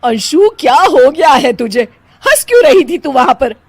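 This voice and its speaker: महिला की आवाज़ में ऊँची आवाज़ वाली चिड़चिड़ी सास. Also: female voice, sits high, sing-song, moderate pace, no echo. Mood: angry. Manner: scolding, exclamatory. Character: easily offended middle-aged mother-in-law, scolding and bossy. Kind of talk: animated moral story voice acting.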